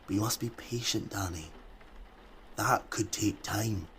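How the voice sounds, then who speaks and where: Brief: male actor as a protective survivor, low calm voice, faint ambient hiss. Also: measured pace. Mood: reasoning. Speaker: survivor in an underground group. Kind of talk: audio drama acting.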